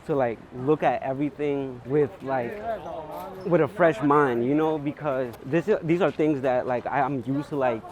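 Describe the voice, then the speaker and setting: A man's voice: medium pitch, normal speed, crowd noise, low-quality recording. A young man with a New York accent chatting casually and enthusiastically, using slang and plenty of filler "like". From New York City, USA. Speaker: street photographer, guest. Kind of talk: casual chat. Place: outdoors on a busy city street. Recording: clear outdoor street and traffic noise.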